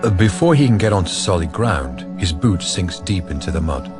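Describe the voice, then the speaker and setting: A man narrating a radio drama as a measured, serious storyteller. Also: deep voice, very animated. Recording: radio narration over clear music.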